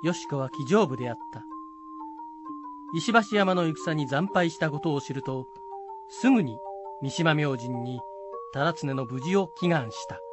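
A man's voice: on the high side, lively intonation, measured pace, pauses often, music in the background. A man narrating a historical tale from a script as storyteller.

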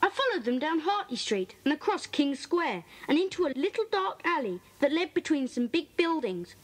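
A woman's voice: high-pitched, very animated, normal speed. A woman with a British accent playing an earnest, eager schoolboy detective in a children's audio drama, telling his story in careful detail. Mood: earnest, intrigued.

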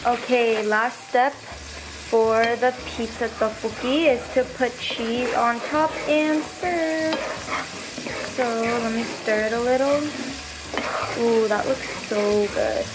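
A woman's voice: on the high side, steady tone, noisy background. A young woman hosting a cooking vlog in her home kitchen, talking casually through each step with a few fillers. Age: young adult. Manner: slow, chatty, thinks aloud with fillers. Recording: background music.

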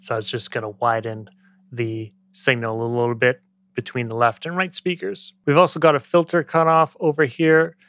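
A man in his thirties giving a casual software tutorial as a music production tutor, speaking into a close studio microphone. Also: medium pitch, fairly even intonation, normal speed, dry recording. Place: small indoor home studio. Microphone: close studio microphone on boom arm.

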